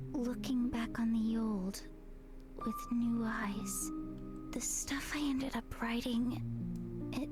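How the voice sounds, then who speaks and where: An actress playing a weary, bitter but resolute young woman in a visual novel, hushed, breathy and restrained. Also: on the high side. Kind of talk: dramatic visual novel voice acting. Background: loud music.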